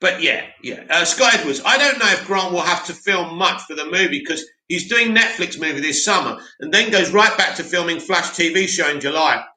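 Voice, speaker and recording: A man hosting a live stream, reading out viewer comments and answering them in a loud, opinionated way with lots of restarts. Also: sits high, animated, normal speed, hardly any pauses. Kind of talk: casual live chat. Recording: echoey room.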